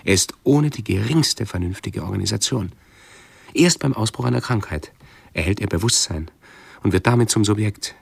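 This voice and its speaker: An actor in a radio drama playing an anxious, pedantic hypochondriac who speaks in a halting, brooding, fussily precise way. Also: male voice, lowish voice, lively intonation, vocal fry, breathy edge, no room sound, exaggerated voice. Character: anxious, pedantic male hypochondriac with obsessive self-observation. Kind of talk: radio drama monologue and dialogue.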